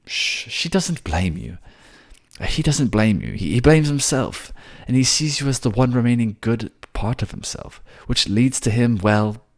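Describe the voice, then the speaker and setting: A man playing a brisk, soothing, protective mage king in a fantasy audio drama, with animated, emphatic delivery. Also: mid-range voice, voice pushed up. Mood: reassuring.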